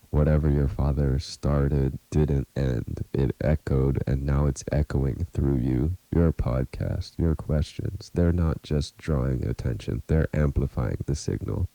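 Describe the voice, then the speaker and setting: A man playing a grave, haunted researcher in an audio drama, confiding a warning in a deliberate, ominous, earnest way. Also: rumbling low, warm tone, dry recording, rough recording. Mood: certain, ominous. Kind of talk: scripted audio drama monologue, recorded message.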